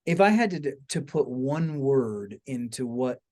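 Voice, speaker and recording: Man, mid-pitched voice, podcast host, enthusiastic, informal, casual conversation. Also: animated, unhurried, darkish tone.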